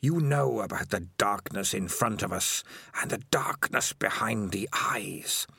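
A man voicing a sinister, hidden giant rat who speaks in a slow, hissing whisper. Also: on the low side, animated, creaky, bright, forceful tone, breathy edge, dry recording, full character voice. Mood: menacing. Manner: slow, drawn-out, coaxing.

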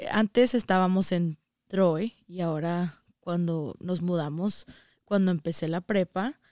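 Young female guest, northern Mexican accent, unhurried and resonant, conversational. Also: on the low side, dark tone, dry recording, studio quality. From Saltillo, Mexico, and the United States. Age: young adult. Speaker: interview guest. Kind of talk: casual conversation telling own experience.

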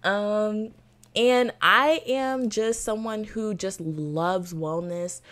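A woman's voice: medium pitch, very slow, a bit bright. A nineteen-year-old woman from Florida hosting her own podcast, talking in an enthusiastic, chatty way with lots of "you know" fillers. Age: teens. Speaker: podcast host. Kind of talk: solo podcast monologue.

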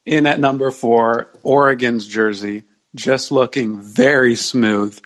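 A man speaking as a podcast guest in casual sports discussion, with measured phrasing like someone announcing a countdown. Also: medium pitch, steady tone.

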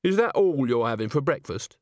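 Tired, glum young woman, read by a male narrator: deadpan, polite, hesitant storybook dialogue with a dry, close sound. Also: mid-range voice, a little fast, no room sound, close to an everyday voice. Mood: doubtful, polite.

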